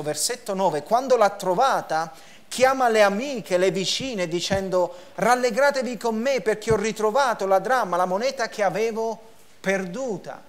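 A man in his thirties preaches from a lectern in a church hall, with a rather high voice. He stresses points by repeating words and gesturing as he reads passages aloud. The clip-on mic keeps him close and clear. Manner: emphatic preaching with repetition and gestures. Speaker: pastor. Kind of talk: sermon. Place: church hall, in front of a projection screen.

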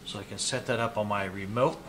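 A man in his fifties giving a casual, unhurried hands-on product demo, thinking aloud as he goes. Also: lowish voice, steady tone. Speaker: product reviewer and video host. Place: small indoor hobby room at a desk. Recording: clear background noise, room ambience.

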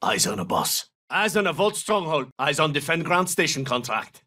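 A tough video game soldier with a high, hugely varied pitch. He delivers terse tactical callouts in a clipped, commanding way. The sound sits a little distant from the mic. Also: very animated, normal speed, sharp tone, exaggerated voice. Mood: terse, focused. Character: tough, terse male special forces soldier. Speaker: video game operator voice actor. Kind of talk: military shooter game callouts, short barked lines.

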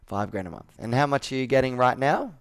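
Clear-voiced male sales coach asking questions. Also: medium pitch, expressive, brisk. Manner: probing, checking back and repeating answers. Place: indoor room with whiteboard, on a video call. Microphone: video call.

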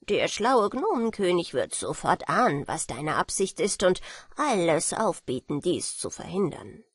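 A woman's voice: lowish voice, very animated, a little slow, slightly rough, no echo, full character voice. A woman voicing a small, solemn dwarf king from a fairy tale, pleading in earnest, formal speech. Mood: cautioning, instructive.

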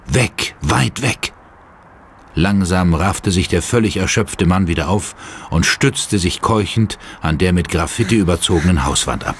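A man's voice: sits low, unhurried, a little hiss or hum. A man narrating a horror audio drama in a dramatic, scripted style. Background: music.

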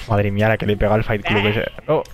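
Give me a man gaming with friends, joking and cursing loudly. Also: on the low side, level tone, fast, a little hiss or hum. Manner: joking, swearing, loud bursts. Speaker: streamer playing with friends. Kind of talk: casual gaming chat over voice call.

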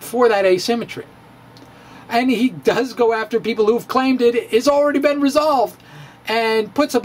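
A man's voice: high-pitched, slight background noise. A middle-aged man giving a dramatic reading of his own physics blog, wry and theatrical, with playful asides, at a stand mic in a small room. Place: small room in front of bookshelves. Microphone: studio mic on stand in front of him.

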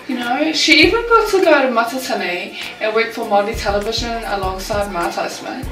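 A woman's voice: a little high, lively intonation, brisk, far from the mic, music in the background, natural voice. A woman acting a vain, jealous young New Zealand best friend in a comedy sketch, ditzy and self-absorbed, in an echoey room.

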